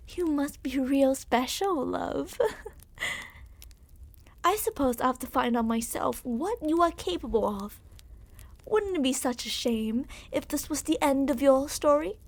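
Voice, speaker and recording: A woman playing a smug, menacing yandere royal captor in an ASMR roleplay, speaking in a theatrical, condescending, mock-affectionate way. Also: high-pitched. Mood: smug, teasing.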